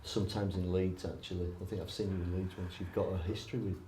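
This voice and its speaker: A man's voice: low-pitched, little pitch movement, fast talker, dark tone, slight background noise. A man hosting a live onstage chat at a club, joking and self-deprecating as he talks with his guest. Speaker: host. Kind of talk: casual onstage interview.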